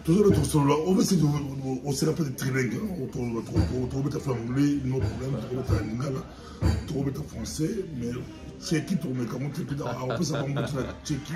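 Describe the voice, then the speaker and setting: A man hosts a casual studio interview as a pastor, talking in a chatty, repetitive way and slipping Lingala into his French. His voice sits in a middle pitch and rings in a roomy studio. Music plays clearly underneath. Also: brisk. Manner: chatty, repeats himself, mixes French and Lingala. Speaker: host, pastor.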